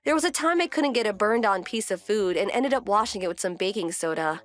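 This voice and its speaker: A woman's voice: mid-range voice, animated, fast, faint music behind, close to an everyday voice. A woman playing a composed, wry wife who narrates her domestic story in a scripted manga dub. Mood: calm.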